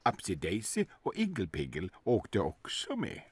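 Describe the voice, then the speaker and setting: A man in his sixties narrating a children's bedtime story in a soft, lulling, sing-song way, over gentle music. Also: lowish voice, wildly swooping pitch, husky, dry recording. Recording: studio narration with music behind.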